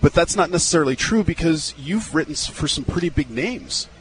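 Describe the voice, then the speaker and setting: A man's voice: on the high side, expressive, brisk, a little hiss or hum, low-quality recording. A male radio host chatting upbeat and casual in a live interview at an outdoor fairground. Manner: upbeat, chatty, repeats words.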